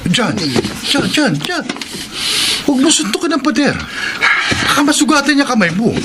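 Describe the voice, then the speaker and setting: A man's voice: very high voice, big pitch swings, moderate pace. A man acting in a radio soap as a nervous, stammering friend and confidant who tries to soothe. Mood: concerned, soothing. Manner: stammering, repeats words. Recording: noisy radio drama recording with clicks.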